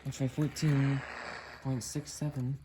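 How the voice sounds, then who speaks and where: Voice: mid-range voice, level tone, a little slow. A vlogger thinking aloud in a casual, musing way while demonstrating a gadget hands-on. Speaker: vlogger reviewing a radio. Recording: noisy home video, radio static behind. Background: shortwave radio hiss and whining.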